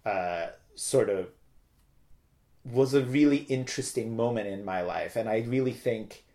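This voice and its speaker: A man's voice: medium pitch, unhurried, warm tone. A talkative middle-aged man from Turkey, telling personal stories casually as an interview guest. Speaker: interview guest, theater director.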